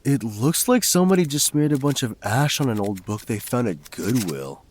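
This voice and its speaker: An actor playing a flippant, self-serving young male vlogger in a comedic horror audio drama, slangy, excitable and sarcastic. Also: medium pitch, normal speed, a little hiss or hum, close to an everyday voice. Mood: wary, deadpan.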